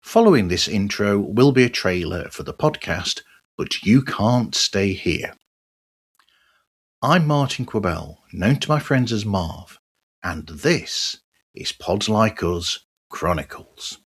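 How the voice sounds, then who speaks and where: A man's voice: lowish voice, big pitch swings, slow pace, slightly rough. A man hosting a podcast, introducing segments and chatting. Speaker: podcast host.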